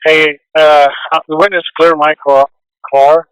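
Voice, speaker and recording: A man checks in as an officer over police radio in a slow, flat delivery. His voice is fairly high for a man and comes through smooth. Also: dry recording, poor audio.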